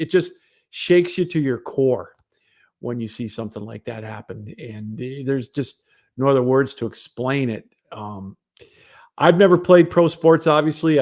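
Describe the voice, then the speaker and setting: A man's voice: mid-range voice, a little mellow. A man hosting a casual solo sports broadcast, talking in a rambling, conversational way. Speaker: sports show host.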